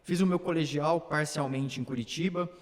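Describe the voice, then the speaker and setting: A man's voice: a little high, normal speed. A young man, a council candidate born in Brazil whose first language is Slovak, earnestly telling his life story in detail during a casual interview. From born in São Paulo, raised in Slovakia and Brazil. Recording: long hall reverb.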